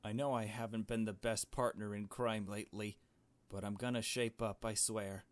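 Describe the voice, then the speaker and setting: A man voicing a frightened, earnest kidnapped young adventurer in a visual novel, speaking in a halting, pleading way. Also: on the low side, flat delivery, natural voice. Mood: pleading, apologetic. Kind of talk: dramatic visual novel read-aloud.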